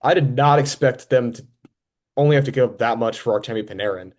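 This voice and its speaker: A man's voice: medium pitch, quick pace, a little mellow. A young man hosting a podcast, talking sports in a casual, conversational way.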